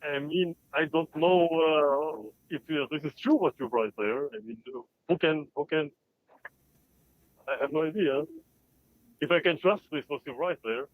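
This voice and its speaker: A man from Albania with an Albanian accent calling in to a show, speaking in a hesitant, halting way. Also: on the high side, expressive, no room sound. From Albania, Kosovo. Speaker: caller. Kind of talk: phone-in call. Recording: tinny dry phone line.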